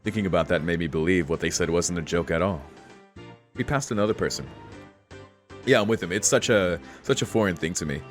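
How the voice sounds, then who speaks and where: A man's voice: sits low, brisk. A man streaming a visual novel, reading the text aloud and adding laid-back, informal asides. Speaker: let's play streamer. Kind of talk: reading game text aloud with casual commentary. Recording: faint game music behind the voice.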